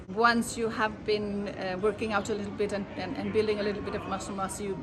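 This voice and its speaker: A woman's voice: medium pitch, vocal fry. Middle-aged woman trainer, noisy ambience. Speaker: personal trainer and menopause coach. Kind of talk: spontaneous self-introduction.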